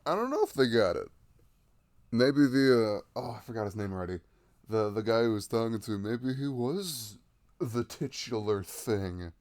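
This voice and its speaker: A man's voice: medium pitch, very animated, moderate pace, a little mellow, dry recording. A man playing a sweet, laid-back boyfriend in an intimate ASMR roleplay, rambling casually and playfully after movie night. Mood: musing.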